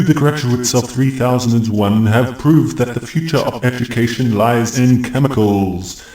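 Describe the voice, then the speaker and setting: A self-important chancellor a man acting declaims in a comic radio play. His mid-pitched voice sounds echoey and away from the mic, with a light crowd around him. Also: a little mellow, natural voice. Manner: pompous, declamatory. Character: pompous, self-important university chancellor addressing graduates. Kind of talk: theatrical speech in comic audio drama. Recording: echoey, distant mic, crowd, faint noise.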